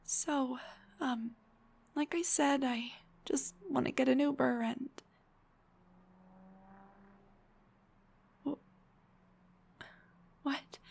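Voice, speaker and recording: A young woman voicing an embarrassed, self-deprecating headless dullahan on a date, in an intimate roleplay, breathy and hesitant, often slipping towards a whisper. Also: high-pitched, a little mellow, full character voice. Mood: hesitant, surprised. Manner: hesitant, stammering, joking. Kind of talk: intimate audio roleplay acting.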